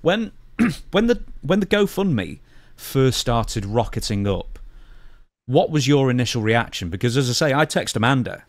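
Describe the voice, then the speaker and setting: A man's voice: mid-range voice, big pitch swings, unhurried, no echo, clean studio sound. A man hosting a podcast, asking questions in a casual, conversational interview style.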